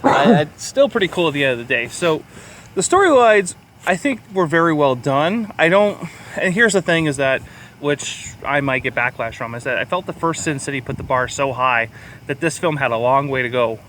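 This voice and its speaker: A man with a voice pitched a little above average co-hosts a casual film review. He rambles and gives his opinions bluntly, swearing as he goes. Faint traffic noise sits behind him. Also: lively intonation, moderate pace, fairly bright tone. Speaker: podcast co-host reviewing films. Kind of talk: casual movie review chat.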